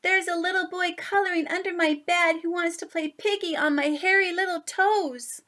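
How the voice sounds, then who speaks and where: A woman voicing a scared young boy monster who calls out loudly and pleadingly for help in a storybook. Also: very high-pitched, fairly even intonation, moderate pace, pitched above the performer's own voice. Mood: alarmed, complaining. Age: child. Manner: loud, pleading, alarmed. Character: frightened young boy monster yelling for his mom.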